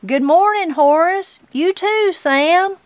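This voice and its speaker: A woman's voice: fairly high pitch, big pitch swings, unhurried, no room sound. A woman voicing a feisty old backwoods granny, blunt, folksy and indignant, as a storybook character. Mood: bold greeting.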